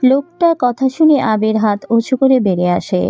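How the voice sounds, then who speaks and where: A woman's voice: on the high side, lively intonation, normal speed, warm tone, muffled recording, soft background music. A woman narrating a scripted audio story.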